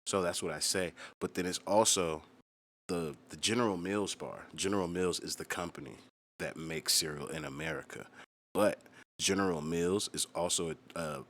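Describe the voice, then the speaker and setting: A man's voice: moderate pace, a bit bright. A young man chatting casually as a musician explaining his own lyrics, slangy, emphatic and full of profanity. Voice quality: low-pitched.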